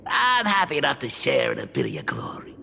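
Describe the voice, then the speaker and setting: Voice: medium pitch, wildly swooping pitch, a little fast, creaky, bright, forceful tone, big-room sound, slight background noise, heavily acted. An actor voicing an eccentric, boastful little gang boss creature in a video game, chatty and theatrical, chuckling and wheedling. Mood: showy, sly. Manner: theatrical, chatty, punctuated with 'eh' and 'huh'.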